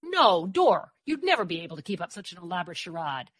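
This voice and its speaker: A narrator playing a sharp-tongued, protective woman. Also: lowish voice, sing-song, no echo, clean studio sound. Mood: worried, pleading. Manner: brisk, scolding, challenging. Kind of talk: audiobook character voice.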